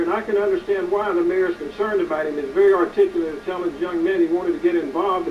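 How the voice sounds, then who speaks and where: A man, a community activist hosting a local show, gives an indignant, preachy commentary with many hesitations. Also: high-pitched. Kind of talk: editorial commentary to camera. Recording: distant, dull, slightly noisy recording.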